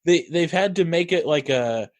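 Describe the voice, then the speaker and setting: A man's voice: a little high, animated, normal speed. A man co-hosting a casual podcast, laid-back and chuckling through his quips. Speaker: podcast co-host.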